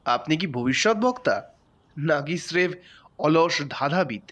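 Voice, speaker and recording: A man's voice: fairly high pitch, lively intonation, unhurried, dull audio. A man playing a skeptical, irritated junior investigator, tense and sarcastic, in an audio drama. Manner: tense, sarcastic, often hushed. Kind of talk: dramatic audio story acting.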